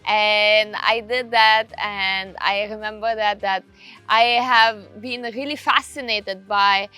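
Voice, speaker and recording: Slow-spoken Austrian woman, bright voice. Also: mid-range voice, fairly even intonation, smooth, no echo, faint music behind. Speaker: riding instructor and leadership coach. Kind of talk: documentary interview.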